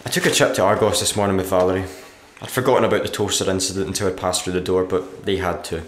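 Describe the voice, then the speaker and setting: A man acting in a radio play as a weary, morbidly deadpan struggling writer reading out his diary. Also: low-pitched, quick pace, reverberant, a little hiss or hum, natural voice. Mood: matter-of-fact. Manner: deadpan, dry.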